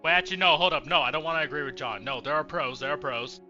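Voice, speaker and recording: A man's voice: on the high side, very fast, highs cut off, over music. A man casually commentating while playing a horror game, jokey and swearing often. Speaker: let's play streamer.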